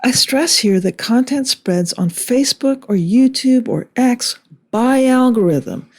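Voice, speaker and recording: A woman delivering scripted political commentary in a measured, deliberate way with emphatic phrasing. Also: fairly high pitch, lively intonation, darkish tone. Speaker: video commentator.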